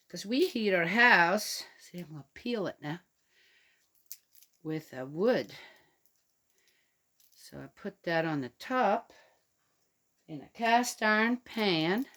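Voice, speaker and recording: A woman from Switzerland talks slowly and gently to little ones, the way someone reads a bedtime story. Her voice sits at an ordinary middle pitch, unhurried and easy, with pauses to chat between pages. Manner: gentle, chatty, talks directly to young listeners. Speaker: children's storyteller. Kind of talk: reading a picture book to children.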